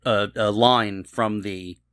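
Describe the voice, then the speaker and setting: A man co-hosting a podcast in casual chat, haltingly repeating words as he starts. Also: lowish voice, fairly even intonation, a bit bright, clean tone, no echo, clean studio sound. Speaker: podcast co-host. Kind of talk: casual chat, TV episode discussion.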